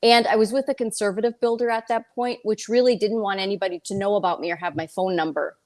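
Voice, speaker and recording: A woman's voice: medium pitch, fast talker. A woman, talking candidly and colloquially as an interview guest, telling stories and acting out quoted remarks. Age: 50s. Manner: animated storytelling, quotes herself and others, colloquial. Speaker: interview guest, real estate broker and speaker. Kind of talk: casual, candid interview chat.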